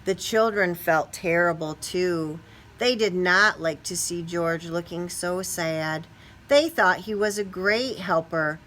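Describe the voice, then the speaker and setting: Woman, expressive picture-book reader for young children. Unhurried, bright delivery that leans on key words. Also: on the low side, slight background noise. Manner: expressive, stretches key words, asks listeners questions. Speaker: storybook reader. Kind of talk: animated children's picture book read-aloud.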